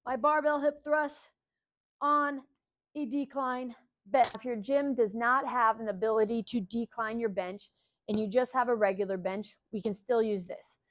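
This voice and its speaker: Upbeat woman coaching hip thrusts. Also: high voice. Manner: upbeat, instructive, checks in with "okay?". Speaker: fitness coach. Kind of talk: exercise tutorial. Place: indoor gym.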